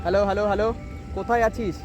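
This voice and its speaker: A man's voice: sits high, steady tone, racing, music in the background. A young man, chatting casually to viewers as a vlogger and often repeating himself, recorded outdoors. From West Bengal, India. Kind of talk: casual vlog narration to viewers. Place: outdoors in an open rural area.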